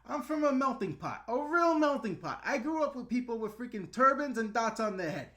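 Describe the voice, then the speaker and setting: A man's voice: very high voice, animated, a little fast, bright, forceful tone. A middle-aged man with a New York accent, hosting a casual livestream and answering chat in a blunt, ranting way. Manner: blunt, ranting, chatty with fillers. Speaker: YouTube host answering live chat.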